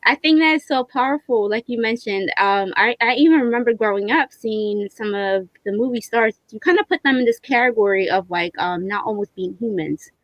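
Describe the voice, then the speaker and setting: A woman's voice: a little high, normal speed, hardly any pauses, no echo, rough recording. A woman hosting a casual conversational interview, speaking in an encouraging, affirming way. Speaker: interviewer hosting an online read-along.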